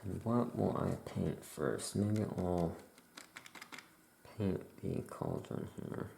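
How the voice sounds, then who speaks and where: A soft-spoken ASMR creator playing a witch shopkeeper, musing aloud in an unhurried way. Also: low voice, lively intonation, vocal fry, reverberant, a little hiss or hum.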